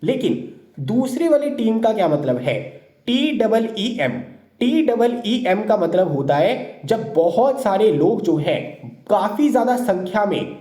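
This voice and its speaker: A man's voice: sits high, very animated, a little slow, mellow. A man teaching English vocabulary in an exam-prep lesson, asking questions and then answering them himself. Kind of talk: explanatory lesson lecture. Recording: roomy echo.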